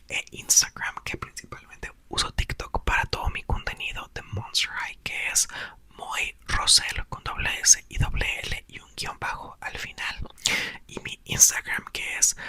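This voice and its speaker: A young man, host of his channel, whispering a book aloud and chatting softly to viewers. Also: dry recording. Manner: soft, whispered throughout. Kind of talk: ASMR reading and chat.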